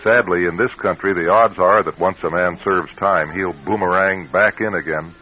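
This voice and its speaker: An American man narrating a radio drama in a measured, grave, dramatic style. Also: low-pitched, bright, forceful tone. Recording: muffled vintage radio drama recording. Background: dramatic music.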